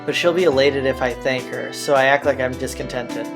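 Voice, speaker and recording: Man reading game narration over soft music. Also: medium pitch, fairly even intonation, brisk. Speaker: let's-play host. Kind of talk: reading visual novel narration aloud.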